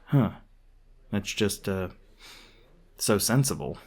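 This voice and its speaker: A man playing a gruff, defensive monster hunter who secretly cares, in an intimate roleplay audio drama, with casual, sweary, hesitant speech. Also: on the low side, expressive, takes it slowly, full character voice. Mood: awkward small talk. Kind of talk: intimate ASMR roleplay acting.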